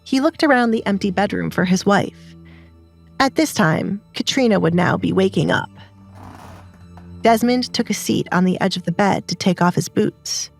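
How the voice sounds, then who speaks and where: A woman's voice: mid-range voice, animated, normal speed, gravelly, soft background music. A woman narrator reading a scripted dramatized story.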